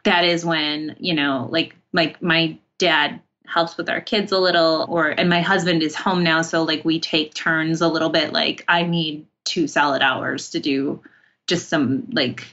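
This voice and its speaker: A woman's voice: sits low, measured pace, lo-fi sound. A woman chatting casually as an interview guest, telling stories in a rambling way full of asides. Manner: rambling, animated storytelling with many 'like' asides. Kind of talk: casual chat.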